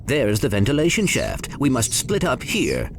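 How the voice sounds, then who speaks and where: An actor playing a small, polite, earnest robot sidekick who speaks formally and precisely. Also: male voice, mid-range voice, wildly swooping pitch, normal speed. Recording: noisy ambience behind.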